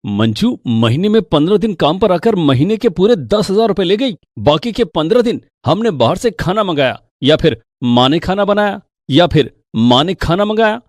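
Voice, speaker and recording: A man's voice: sits high, big pitch swings, moderate pace, hardly any pauses, dry recording, studio quality. A man playing a responsible, earnest adult son in a cartoon story, explaining things emphatically. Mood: earnest, firm.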